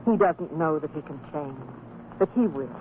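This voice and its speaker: A woman's voice: low voice, normal speed, natural voice. An actress playing a devout, firm and hopeful wife and mother in a religious radio drama, speaking with earnest, formal exhortation. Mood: resolute, consoling. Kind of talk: old-time religious radio drama acting. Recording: tinny, band-limited old radio recording, noisy.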